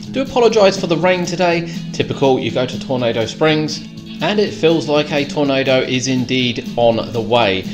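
A British man giving a measured, descriptive voice-over tour as a theme park vlogger. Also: fairly high pitch. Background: clear background music.

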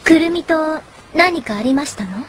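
Fancy scheming rich girl, high voice, polite but mocking, japanese audio drama. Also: expressive, normal speed, slight background noise. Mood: coolly challenging. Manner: haughty, refined polite speech, mocking. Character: elegant, scheming young lady, falsely sweet and vengeful.

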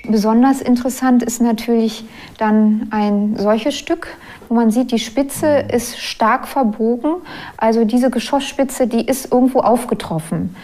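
Lady archaeologist explaining stuff for a doc, soft mellow voice, bit on the high side. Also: measured pace. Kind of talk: explanatory documentary interview.

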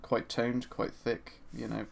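A man with a gravelly, slightly hoarse voice talks through a screen-recorded lesson at his desk. He is relaxed and chatty, filling pauses with ums and uhs as he explains each step. His voice sits at an ordinary middle pitch. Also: a little hiss or hum. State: slightly hoarse from a sore throat. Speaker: 3D art instructor. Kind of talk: screen-recorded software tutorial narration.

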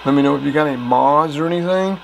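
A man's voice: a little high, quick pace. A man giving a casual demonstration of gear as a hobbyist. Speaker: radio hobbyist reviewing gear. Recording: clear background noise.

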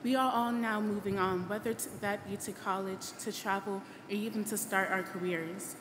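A woman's voice: medium pitch, steady tone. A young woman in her late teens from Ghana, giving an earnest, formal scripted graduation speech at a podium microphone. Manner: earnest, formal, measured oratory. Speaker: graduating student speaker. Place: large auditorium stage at a podium. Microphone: podium microphone on a boom stand. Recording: echoey hall PA, loud background noise.

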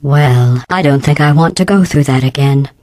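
A woman playing a sinister, passive-aggressive female artificial intelligence in a video game, deadpan and precise, with robotic voice processing. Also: deep voice, no room sound. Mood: mocking. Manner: deadpan, precise, sardonic, measured. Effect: robotic synthetic voice processing. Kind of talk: scripted video game character acting.

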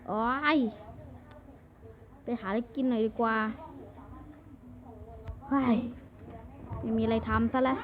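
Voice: medium pitch, steady tone, slow pace, long pauses. A video creator improvising Minecraft roleplay commentary, muttering to themselves and breaking into exclamations. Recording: muffled lo-fi mic, background voices.

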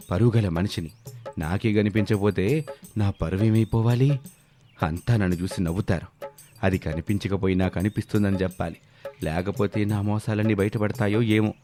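A man's voice: low-pitched, dark tone, close to an everyday voice. A man playing a proud, gullible village headman who frets over his honour in a storybook tale. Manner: self-important, fretful about reputation. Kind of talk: scripted story dialogue. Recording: background music behind the voice.

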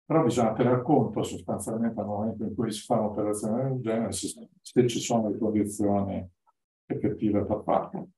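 A man's voice: lowish voice, fairly even intonation, moderate pace, warm tone. An older man gives an informal lecture, explaining in hesitant phrases, recorded from across a room. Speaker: guest lecturer. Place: indoor classroom with whiteboard. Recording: roomy, distant microphone.

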